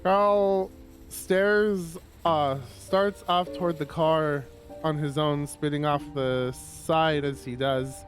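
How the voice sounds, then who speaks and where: A man reading a visual novel aloud on a livestream as dramatic, expressive narration with drawn-out phrases. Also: a little high, soft background music.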